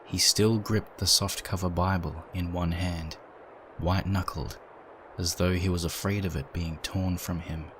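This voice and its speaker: A man's voice: deep voice, steady tone, measured pace, a little hiss or hum, rough recording. A man narrating a scripted first-person story as a dramatic reading.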